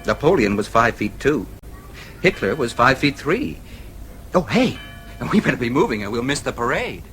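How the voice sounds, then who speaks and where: A man's voice: mid-range voice, very animated, normal speed, fairly bright tone, soft background music. An actor playing a worldly, wry man who muses and explains, in a radio play. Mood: wry musing. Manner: musing, explanatory. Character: worldly, wry man fond of political history. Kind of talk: radio drama acting.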